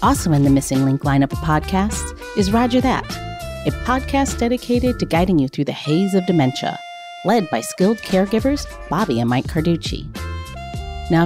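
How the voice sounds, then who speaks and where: A woman announcer reading scripted promotional narration for podcasts, with guitar music behind her. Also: medium pitch.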